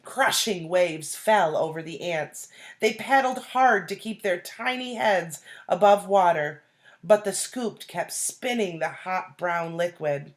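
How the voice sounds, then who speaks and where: Lady teacher reading a kids story, real slow, lowish voice, stops to explain and ask stuff. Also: lively intonation. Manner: deliberate, questioning, explains to young listeners. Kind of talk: expressive storybook read-aloud with asides and questions.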